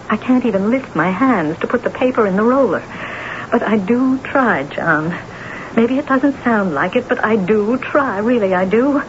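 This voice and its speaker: An actress playing an imperious, brittle, self-absorbed lady novelist and wife in a radio drama, with a theatrical, high-strung delivery. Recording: muffled, noisy vintage radio broadcast.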